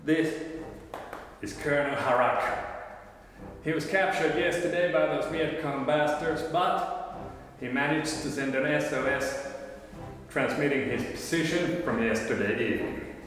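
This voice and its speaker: Actor as a loud profane drill sergeant, contemptuous; booming, reverberant room sound. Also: male voice, unhurried, pauses often, faint music behind. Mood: gruff. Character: foul-mouthed bullying American army sergeant. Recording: echoey room, long reverb.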